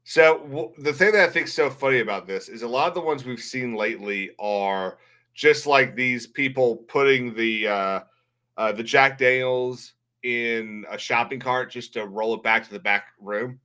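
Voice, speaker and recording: Bright, forceful man chatting on a livestream. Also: mid-range voice, moderate pace. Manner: rambling, blunt, swears casually. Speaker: livestream host. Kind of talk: casual livestream chat and commentary.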